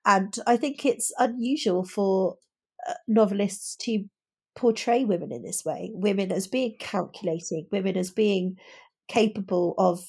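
A woman's voice: medium pitch, measured pace, husky. A woman co-hosting a podcast in a casual book discussion, talking in an emphatic, opinionated and articulate way.

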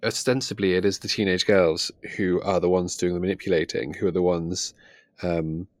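A man's voice: low-pitched, level tone, normal speed, no room sound. A man co-hosting a casual book discussion, thinking aloud hesitantly and often rephrasing himself. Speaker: book podcast host.

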